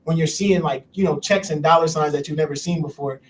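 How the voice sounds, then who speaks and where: A man's voice: fairly high pitch, fairly even intonation, distant mic, slight background noise, low-quality recording. A young man from Albany, New York, on a youth panel, talking in long run-on sentences full of "like". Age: young adult. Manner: fast, run-on talk full of "like".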